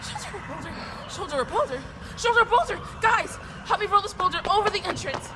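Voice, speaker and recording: A jittery, excitable companion, voiced by a woman, very high and lively as she shouts orders. Loud noise fills the background. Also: fairly quick, a crowd in the background. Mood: urgent. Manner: urgent, exclamatory.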